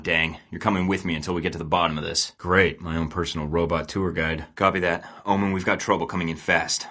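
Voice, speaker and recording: A wisecracking young secret agent for a video game. His voice sits low and deep, and he trades dry, sarcastic one-liners. Even in tense missions he sounds cool and unbothered. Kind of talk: game voice lines.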